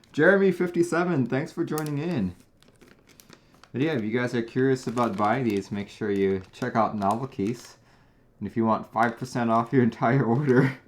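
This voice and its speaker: A man from Taiwan hosting a casual live stream, talking in a chatty, relaxed way with frequent small restarts. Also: medium pitch. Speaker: host.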